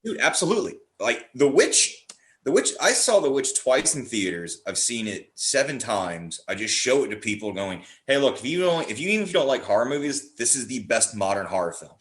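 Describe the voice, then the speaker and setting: A man's voice: mid-range voice, sing-song, a little fast, fairly bright tone. A man chatting casually about films in an opinionated, emphatic way. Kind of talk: casual film chat.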